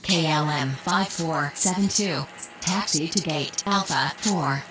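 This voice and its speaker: A low-pitched female aviation radio voice from a video game, acted by a woman. She is clipped, procedural and businesslike, and clear background noise sits behind her. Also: slow. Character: female air traffic controller or pilot, procedural and businesslike. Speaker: air traffic and pilot radio voice in a game. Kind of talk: scripted aviation radio phraseology.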